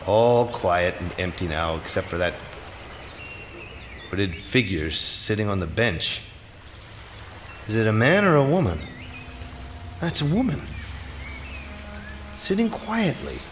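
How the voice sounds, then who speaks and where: Excitable, theatrical artist, wildly lively. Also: male voice, on the low side, takes it slowly, long pauses. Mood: musing, animated. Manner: theatrical, exclamatory, dramatic. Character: exasperated, excitable male artist, dreamy and awestruck. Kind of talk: theatrical live stage monologue. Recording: live theatre recording, clear background noise.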